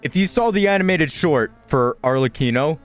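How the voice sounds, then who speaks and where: A man's voice: a little high, expressive, normal speed, smooth, faint music behind. A man reacting casually to a video game as a streamer.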